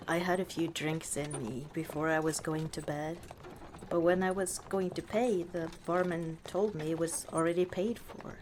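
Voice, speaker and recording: A woman playing a warm, teasing orc chieftain wife in an unhurried, dryly dismissive ASMR roleplay monologue. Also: deep voice, steady tone, slight background noise, lightly acted. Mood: fond storytelling.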